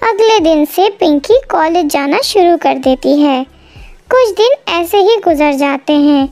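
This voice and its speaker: A woman's voice: very high voice, lively intonation, measured pace, darkish tone, no room sound. A woman narrating a scripted cartoon story as the storyteller.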